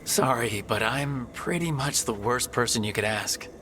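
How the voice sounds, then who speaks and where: An actor playing an easygoing, self-deprecating young fantasy hero with a casual, lightly joking manner. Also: male voice, medium pitch, lively intonation, normal speed, sharp tone, slight background noise. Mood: gentle. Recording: music behind the voice.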